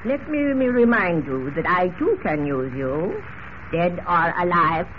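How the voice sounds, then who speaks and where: A menacing Egyptian gunman speaks in a high, deliberate voice, formal and imperious. It is classic radio serial villainy, heard through a thin, boxy old recording with a light hiss. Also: expressive, highs cut off.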